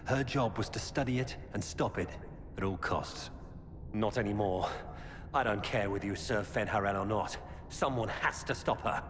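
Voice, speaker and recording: An actor playing a weary, earnest former knight turned defector, giving grave, urgent warnings in a video game. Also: male voice, on the low side, normal speed, natural voice. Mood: urgent, pleading. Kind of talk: dramatic video game dialogue. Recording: cavernous reverb with loud background noise.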